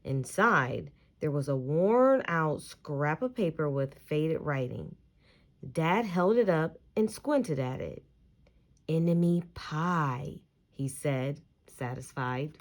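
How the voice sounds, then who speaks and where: A woman reading a children's picture book aloud, expressively and deliberately, stressing key words as she tells the story. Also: low-pitched, very animated.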